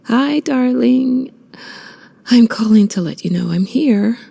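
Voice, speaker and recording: An actress playing a weary, sardonic middle-aged woman writer in a video game, with a husky, breathy voice and a dry manner. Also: a little high, animated, takes it slowly, mellow, full character voice. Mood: tender, tired. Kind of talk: naturalistic video game acting.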